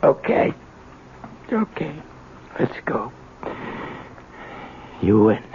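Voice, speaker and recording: An actor playing an evasive, weary former thief with a creaky voice, protesting in 1970s radio drama style. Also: male voice, mid-range voice, very animated, extremely slow. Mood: defeated. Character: weary, evasive male ex-thief roused from sleep. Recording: muffled old radio broadcast, faint hiss.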